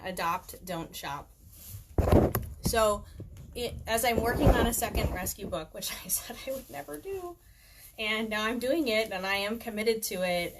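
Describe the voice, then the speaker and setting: A woman author reading her own book aloud at home in an informal, conversational way. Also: medium pitch. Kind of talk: reading aloud with casual chatty asides. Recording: clear background noise, home recording.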